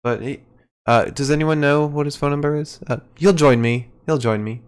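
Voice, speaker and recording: Rambling man with a smooth voice. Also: a little high, moderate pace. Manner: rambling, hesitant, many fillers. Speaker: podcast co-host. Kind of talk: casual wrestling recap chat.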